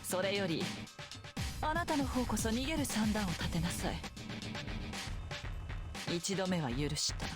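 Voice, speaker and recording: A woman's voice: mid-range voice, very animated, fairly quick, pauses often, natural voice. A woman voicing a haughty, menacing sorceress with refined, taunting speech in a visual novel game, with music behind her. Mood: cold threat. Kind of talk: Japanese visual novel voice acting.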